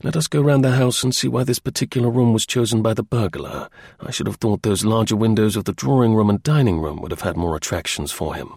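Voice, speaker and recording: Man playing a commanding gentleman detective, gravelly edge. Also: lowish voice, fast, no room sound, clean studio sound. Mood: probing, brisk. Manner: incisive, courteous, authoritative.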